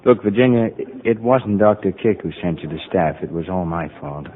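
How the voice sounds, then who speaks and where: An actor playing a gentle, apologetic husband in a radio drama, mild and hesitant as he soothes his wife. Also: low-pitched, animated, a little fast. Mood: earnest, apologetic. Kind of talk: mid-century American radio drama acting. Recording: muffled old radio recording.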